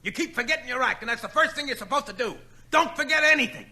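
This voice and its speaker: An exasperated talent manager scolds in a very high, piercingly sharp voice. The delivery is forceful, hectoring 1940s radio comedy. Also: male voice, expressive, exaggerated voice. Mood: scolding. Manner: rapid-fire, forceful hectoring.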